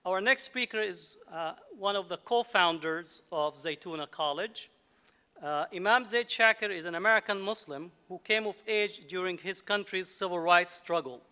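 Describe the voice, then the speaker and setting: A man acting as master of ceremonies, formally introducing speakers in a somewhat halting way. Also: high voice, sharp tone. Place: large hall at a ceremony. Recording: reverberant hall.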